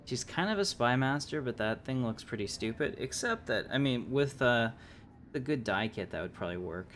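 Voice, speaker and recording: A man's voice: mid-range voice, expressive, moderate pace, fairly bright tone, slight background noise. A man giving casual live gameplay commentary, musing aloud and hesitating as he thinks through choices. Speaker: game livestreamer, host.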